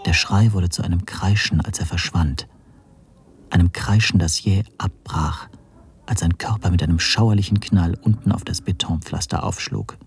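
An actor playing a weary, shaken man who narrates a German radio spy thriller in a measured, hushed, brooding manner. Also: deep voice, slight background noise. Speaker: first-person narrator and protagonist.

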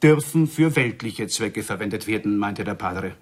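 A man's voice: lowish voice, animated, moderate pace, breathy edge. An actor playing a village man who earnestly relays news as a storyteller in a radio play. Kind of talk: German radio play acting.

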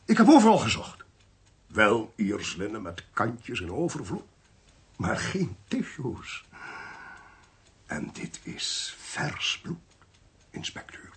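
An actor playing a smug, sly, domineering government investigator in a Dutch radio play, pompous and precise. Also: male voice, mid-range voice, fairly bright tone, slightly rough, full character voice. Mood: intent.

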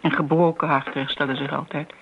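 Elderly genteel lady, creaky voice, wistful and chiding, radio drama, muffled noisy recording. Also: sits low, flat delivery, moderate pace. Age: older. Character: elderly genteel woman, reminiscing, self-righteous old family friend. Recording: muffled old radio play, background noise.

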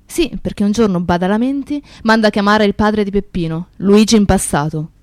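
A reflective, grieving woman, played by an actress, narrates for radio theatre. Her medium-pitched voice is measured, telling the story in a very dry, close sound. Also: dry recording, close to an everyday voice. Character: reflective, grieving woman recalling her activist friend and his town.

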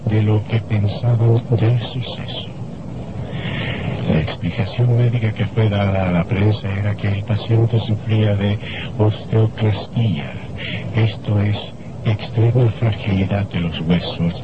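Man acting as deep, slow, sinister horror narrator. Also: fairly even intonation, very noisy, lightly acted. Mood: grave, ominous. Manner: theatrical, ominous, deliberate. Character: sinister male narrator of horror tales, gloating and macabre. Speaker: narrator and horror show host. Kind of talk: Spanish-language radio horror theatre narration. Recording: dull lo-fi old radio recording, loud music. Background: loud dramatic music.

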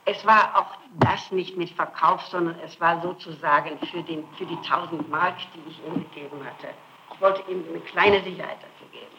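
A middle-aged woman answering questions in a recorded interrogation, insisting and denying in halting, repetitive phrases. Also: fairly low pitch, muffled recording. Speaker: suspect under interrogation. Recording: noisy 1960s archival tape.

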